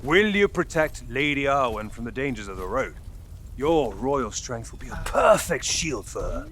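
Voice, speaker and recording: A man's voice: mid-range voice, very animated, moderate pace, fairly bright tone, faint music behind. A man playing a practical, long-suffering fantasy hero in a video game, with a dry, sardonic delivery. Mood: courteous. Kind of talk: video game character acting.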